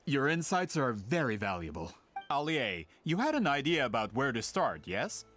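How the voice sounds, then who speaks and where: A man's voice: medium pitch, very animated, normal speed, a bit bright, soft background music. A man playing a courteous, persuasive story collector in a video game, speaking formally. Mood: businesslike.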